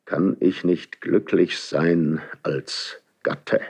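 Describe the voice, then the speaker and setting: A proud aging monarch in classical radio drama. His voice sits low, and he speaks with measured weight and pauses, commanding yet lonely and mistrustful. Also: fairly even intonation, gravelly, a little mellow, slightly rough, heavily acted. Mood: brooding. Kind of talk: 1970s German radio play, classical verse acting.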